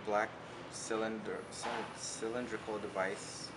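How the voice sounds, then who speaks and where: Young man with calm interview answers. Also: fairly low pitch. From Santa Clara, California. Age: young adult. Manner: calm, measured storytelling with fillers. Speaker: interviewee, college student. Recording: loud background noise.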